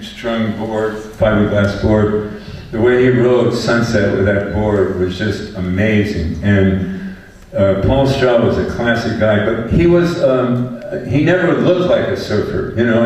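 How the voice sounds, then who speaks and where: An older man, a panelist and former math teacher, telling rambling, chuckling stories to an audience in a loud voice. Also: fairly low pitch. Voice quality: fairly loud voice. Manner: chuckling, rambling, often restarts his sentences. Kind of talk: relaxed anecdotal storytelling to an audience. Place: large echoey event hall with a live audience. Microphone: distant microphone. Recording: echoey hall, distant mic, faint hiss.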